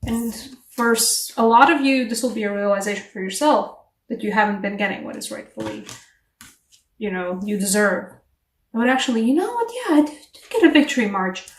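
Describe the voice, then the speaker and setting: A woman giving a casual tarot reading to camera, chatty and animated, English not her first language, laughing at herself between rambling asides. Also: a little high, a little fast, far from the mic. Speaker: tarot reader. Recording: echoey room, voice a bit off-mic.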